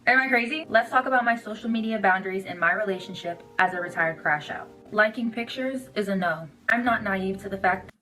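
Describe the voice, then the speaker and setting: A woman's voice: medium pitch, a little fast, few pauses, pushed and bright, faint music behind, rough recording. A young woman giving a casual opinion monologue as a social media creator. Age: young adult.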